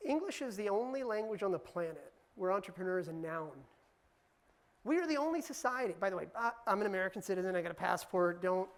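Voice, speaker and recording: A woman's voice: medium pitch, lively intonation, fast. Chatty woman teasing a live audience. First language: Punjabi. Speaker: keynote speaker. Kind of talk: conference talk to a live audience.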